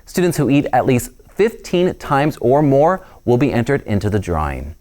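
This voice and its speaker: A man's voice: mid-range voice, big pitch swings, normal speed, darkish tone. Upbeat male news show host. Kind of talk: scripted news presenting.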